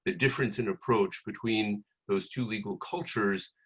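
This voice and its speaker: An older man with a New York accent introducing a webinar panel in a semi-formal, halting way with pauses mid-sentence. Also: on the low side, slightly rough. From New York City, United States.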